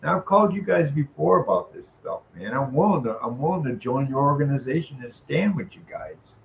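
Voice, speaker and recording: A man's voice: mid-range voice, very animated, a little fast, creaky. An older man making an informal complaint call, emphatic and aggrieved, repeating himself as he rambles. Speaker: caller, tenant making a complaint. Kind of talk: informal phone complaint, venting. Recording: dull lo-fi sound with background noise.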